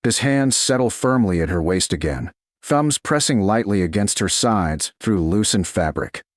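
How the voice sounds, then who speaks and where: Low-voiced man narrating romance with expressive swoops. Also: moderate pace, no echo, clean studio sound. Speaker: audiobook narrator. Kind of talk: scripted romance story narration.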